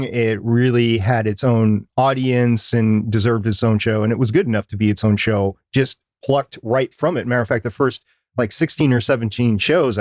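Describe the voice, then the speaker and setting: A man's voice: medium pitch, dry recording, studio quality. A man co-hosting a podcast, explaining things in an informal, conversational way. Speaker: podcast co-host.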